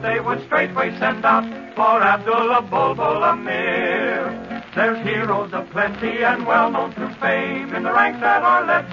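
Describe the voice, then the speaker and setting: A man sings a comic ballad in 1940s radio vocal-group style. The voice is very high, slow and swooping, with loud music behind it on a narrow old recording. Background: loud musical accompaniment.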